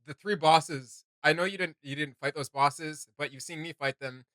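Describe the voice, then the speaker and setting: A man co-hosting a casual reaction video, animated and jokey. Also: fairly high pitch, normal speed, dry recording.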